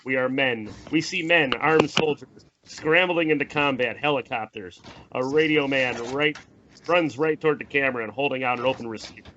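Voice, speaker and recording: A man's voice: medium pitch, normal speed, sharp tone. A man reading screenplay stage directions as narrator, dramatic and emphatic. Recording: dull audio, faint noise and clicks.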